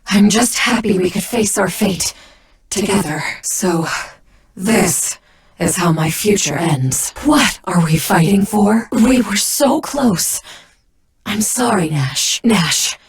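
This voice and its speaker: A woman playing a proud, icy young warrior in an anime game, with theatrical, imperious and deliberate delivery. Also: medium pitch, gravelly. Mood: solemn, devoted. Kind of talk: English anime game voice-over battle lines.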